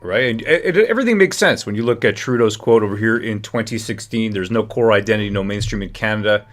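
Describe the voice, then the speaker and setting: A man with a low voice hosts a live commentary stream and presses his points hard to the audience. He sounds close to the mic. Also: fast talker. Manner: emphatic, talks straight to viewers. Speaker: livestream host. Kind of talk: political commentary livestream.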